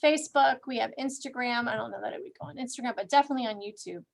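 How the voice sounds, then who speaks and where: A woman's voice: fairly high pitch, racing, gravelly. A tired woman co-hosting an online event, making informal announcements to the participants. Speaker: event organizer, co-host. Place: online video call.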